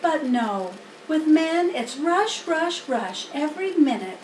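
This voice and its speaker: Woman voicing a brisk, wise, high-pitched lady spider. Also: big pitch swings, full character voice. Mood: wry, opinionated. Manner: matter-of-fact, instructive. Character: wise, brisk, plain-spoken female spider. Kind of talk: children's storybook character reading. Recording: roomy, distant mic, faint noise.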